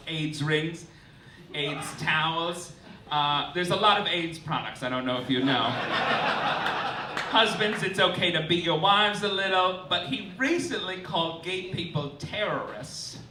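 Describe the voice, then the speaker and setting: High-voiced campy male comedian, roomy live stage. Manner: arch, campy, theatrical delivery with incredulous rants. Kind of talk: satirical stage monologue read as mock official announcements. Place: live stage in a club before an audience. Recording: roomy, distant live recording with noise.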